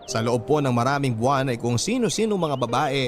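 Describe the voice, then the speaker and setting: A man narrates a scripted letter for a radio love-story drama. His voice is medium in pitch, measured and earnest, with music clearly playing behind him. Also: expressive. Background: background music.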